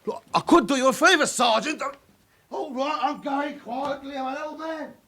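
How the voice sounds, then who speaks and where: An actor playing a shifty older club owner, stammering and protesting in a radio crime drama. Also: male voice, very high-pitched, moderate pace, bright, forceful tone, heavily acted. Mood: desperate. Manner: stammering, pleading.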